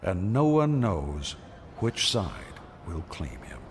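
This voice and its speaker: A man giving dramatic scripted narration for a space saga, solemn, measured and portentous. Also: low voice, huge pitch swings. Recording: music under the voice.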